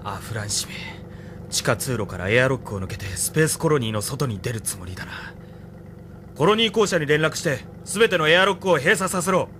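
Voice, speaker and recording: A man's voice: fairly high pitch, lively intonation, a little fast, background noise, close to an everyday voice. A man acting in a Japanese audio drama as an intense, vengeful military officer. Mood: urgent command. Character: intense, vengeful male military officer hunting his rival.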